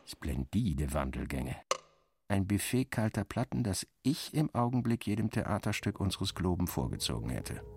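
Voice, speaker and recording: A man reading first-person literary narration in a deliberate, dramatic manner. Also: low-pitched, sing-song, noisy background.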